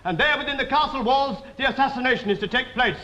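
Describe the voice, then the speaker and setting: A man's voice: extremely high pitch, quick pace, pushed and bright, slight background noise. An actor playing a male messenger who breathlessly brings urgent, dramatic news to the lords. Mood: alarmed. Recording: dull, roomy stage recording.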